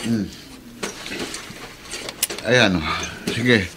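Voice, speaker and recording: A man's voice: fairly low pitch, very slow, background noise. An actor playing a resolute, middle-aged father in a radio drama, speaking in an earnest, reassuring way. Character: resolute one-legged father driving a pedicab.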